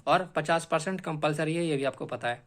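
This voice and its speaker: A man's voice: on the high side, brisk. A man explaining exam strategy like a coaching teacher, repeating key points emphatically and often checking in with short tag questions.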